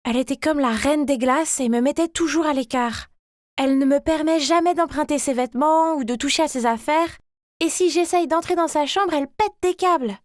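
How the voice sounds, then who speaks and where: A chatty young schoolgirl acted by a woman narrates a cartoon story about her life. The voice is very high and expressive, recorded dry with no room sound. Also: fairly quick, no echo, lightly acted. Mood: puzzled, slighted. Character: chatty, ordinary schoolgirl, overlooked younger sister. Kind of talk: animated first-person story narration.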